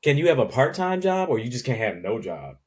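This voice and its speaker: A man hosting a casual interview, relaxed and conversational, reacting with short affirmations. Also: medium pitch, a little fast. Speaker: host.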